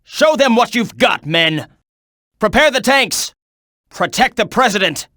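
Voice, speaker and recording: Tense, urgent game soldier. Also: male voice, high-pitched, expressive, unhurried, bright, forceful tone, dry recording, studio quality, heavily acted. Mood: grim, resolute. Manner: clipped, urgent military delivery. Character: tense military soldier barking combat reports and orders. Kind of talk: video game battle voice clips.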